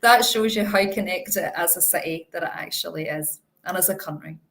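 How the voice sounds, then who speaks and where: Chatty Irish woman panelist, lower voice, easy and self-mocking. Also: normal speed, vocal fry, a bit bright. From Ireland. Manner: chatty, enthusiastic, laughs at herself. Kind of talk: live Q&A panel chat.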